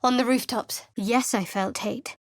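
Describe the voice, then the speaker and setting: Game role: a sardonic guarded young cleric, fairly high voice, dry close studio sound. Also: female voice, fairly even intonation, measured pace, no echo. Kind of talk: video game character voice lines. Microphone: close studio mic.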